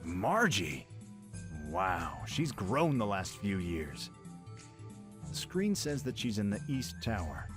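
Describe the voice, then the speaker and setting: A brash young pirate prince talks with cocky swagger and big swings in pitch, as a man acts him out. Clear music plays behind him in this fan radio drama. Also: very animated, slow pace. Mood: eager.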